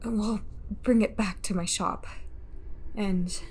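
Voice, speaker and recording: Woman acting a slow, coolly commanding fortune teller. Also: medium pitch, fairly even intonation, a little mellow, slight background noise, natural voice. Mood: condescending, commanding. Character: eerie female fortune teller, once sacrificed, coolly commanding. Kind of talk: audio roleplay acting.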